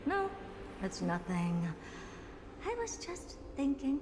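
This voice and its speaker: A woman's voice: high voice, very animated, slow pace, exaggerated voice. Haughty genius woman scientist, in a cavernous echo. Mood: wary. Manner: theatrical, imperious, muttering to herself. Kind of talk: video game voice acting. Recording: roomy echoing hall with noise.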